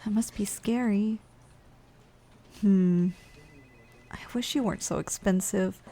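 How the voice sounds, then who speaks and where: Woman doing a tired giantess office worker, asmr roleplay, mid-pitched voice, chatty n teasing, kinda blunt. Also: takes it slowly, mellow. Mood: curious, musing. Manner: musing, conversational, teasing.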